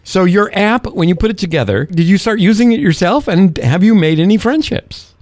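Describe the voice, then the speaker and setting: A male radio host with a slightly high voice and very animated intonation. He talks casually between segments and puts questions to guests, in a dry, close studio sound. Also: a little fast, dry recording. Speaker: radio morning show host. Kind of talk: casual radio talk and interviewing.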